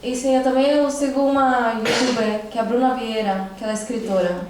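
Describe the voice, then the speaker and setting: A teenage girl from Brazil talks on a panel in a relaxed, faltering way, pausing often and leaning on fillers. Her voice comes from some way off the mic. A large hall throws a heavy echo around it. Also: fairly high pitch, background noise. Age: teens. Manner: hesitant, many fillers and pauses. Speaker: panel speaker. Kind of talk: casual panel talk. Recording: echoey hall, mic at a distance.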